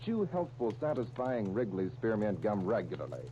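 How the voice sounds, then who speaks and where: A man's voice: on the low side, big pitch swings, moderate pace, background noise. An American man reading scripted narration as a radio announcer, with polished, emphatic 1930s delivery. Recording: muffled 1939 radio recording, music behind.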